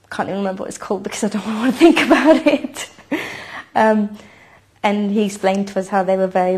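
A woman's voice: on the low side, darkish tone. A woman in her thirties from Iceland, a native Icelandic speaker, giving a personal interview account at home. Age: 30s. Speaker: mother telling her family's story. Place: home kitchen, indoors.

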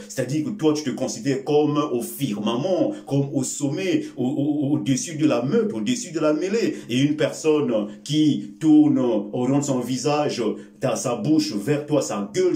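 A man in his forties whose first language is Bembe, speaking slowly and emphatically to the camera with many filler sounds and repeated words as he gives a life lesson. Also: medium pitch, dark tone. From Congo. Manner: gestures with both hands while speaking. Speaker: life-lesson video host. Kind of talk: motivational talk to camera. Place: small indoor room before a curtain. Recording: echoey room.

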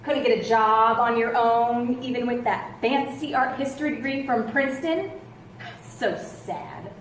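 A woman's voice: fairly high pitch, measured pace, close to an everyday voice. Actress as defensive, condescending veteran policewoman, echoey stage. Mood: patronizing. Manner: patronizing, calls people darling and hun. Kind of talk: live stage play acting. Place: theatre stage with rows of seats. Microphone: distant camera. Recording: echoey theatre stage from far off, noisy.

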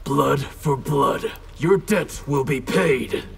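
A man's voice: mid-range voice, slow, gravelly. A man voicing a grave male warrior bound by honor in a video game, speaking in a solemn way.

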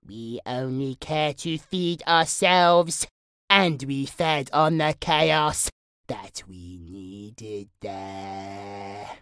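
A woman's voice: extremely low pitch, drawn-out words, fairly bright tone, clear, resonant tone, dry recording, studio quality, exaggerated voice. A woman playing a gleeful, sinister demonic entity in a horror audio roleplay, taunting in a singsong, menacing way.

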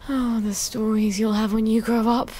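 A woman's voice: fairly high pitch, level tone, moderate pace, mellow, slight background noise, close to an everyday voice. Video game role: woman as young English mum, anxious yet sweetly defiant, faint ambience behind. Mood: nervous, wry.

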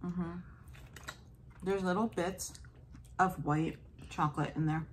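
A woman in her thirties chatting softly and casually while eating on a home food vlog. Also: lowish voice, slow pace, slight background noise. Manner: soft, relaxed, savoring food between remarks. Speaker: food vlogger. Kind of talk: casual mukbang chat. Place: small indoor room at a table.

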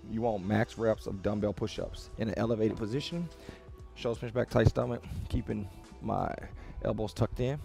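Male gym coach, 30s, lowish register with fry, casual and motivational, giving clear step-by-step workout instruction. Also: a little mellow. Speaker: fitness coach. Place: small home-style gym with rubber floor, indoors.

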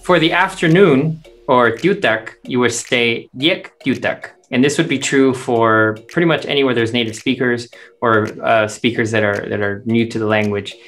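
A man narrates a language lesson in a mid-pitched voice. He explains words and their meanings clearly and methodically, as a teacher would. Music plays behind him. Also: moderate pace, a bit bright. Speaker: teacher, translator.

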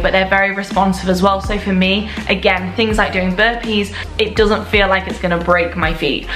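A woman's voice: medium pitch, a bit bright. A young woman in her twenties chatting to camera at home, reviewing products with gushing enthusiasm. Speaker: lifestyle vlogger. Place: indoor room at home. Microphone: camera at desk distance. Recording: echoey room with faint music.

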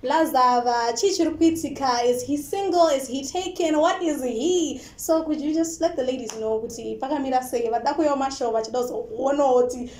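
A woman's voice: sits high, moderate pace, few pauses, darkish tone. A young woman with a Zimbabwean accent hosts a studio interview, asking playful, upbeat questions. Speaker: talk show host. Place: indoor TV studio. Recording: roomy studio with faint noise.

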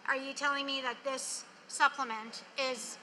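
A woman's voice: high-pitched, fairly even intonation, moderate pace, bright, forceful tone, slight background noise. A woman on an expert panel asking pointed, probing questions about a product in a large hall. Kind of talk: questioning a pitch.

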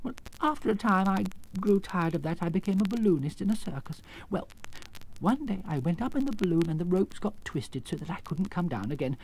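A man's voice: sits high, animated, fairly quick, darkish tone, pitched above the performer's own voice, rough recording. A man playing a timid, flustered little old fraud of a wizard in a storybook tale, with a trembling, stammering voice. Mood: confiding, apologetic. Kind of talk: storybook character acting.

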